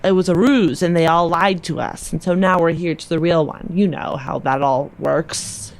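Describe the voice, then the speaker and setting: A woman's voice: fairly low pitch, moderate pace. A woman roleplaying casually in character as a player in a tabletop game.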